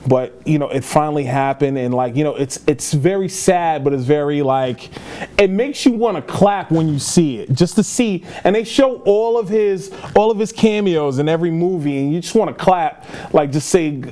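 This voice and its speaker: A man with a slightly high voice talks through a film as a podcast co-host. He is conversational and opinionated, circling back to repeat his points for emphasis. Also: normal speed, warm tone. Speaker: podcast co-host reviewing films. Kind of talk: casual film review chat.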